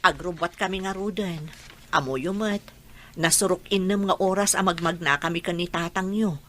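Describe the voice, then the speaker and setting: A woman playing a gentle, resigned rural mother in a radio drama, earnest and reassuring, with an Ilocano accent. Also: lowish voice, moderate pace, natural voice. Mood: earnest, parting. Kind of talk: Filipino radio drama acting.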